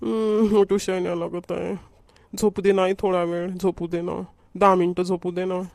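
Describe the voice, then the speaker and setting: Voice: medium pitch, flat delivery, moderate pace, creaky, darkish tone, no echo, natural voice. A performer voicing a food-loving, whiny young schoolboy in a homemade comedy cartoon, with a Varhadi Marathi accent. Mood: sleepy whining. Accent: Varhadi Marathi from Vidarbha.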